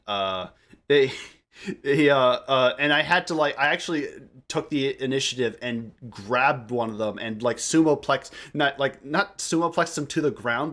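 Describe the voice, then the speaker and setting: A young man chatting casually on a group podcast, telling stories in a halting, rambling way and often repeating his phrases. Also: mid-range voice, a bit bright. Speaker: podcast member. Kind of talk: casual group chat storytelling.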